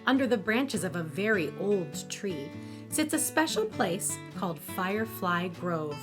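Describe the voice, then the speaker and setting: Woman narrator, medium pitch, lively intonation, scripted children's storytelling, music behind. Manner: expressive storytelling.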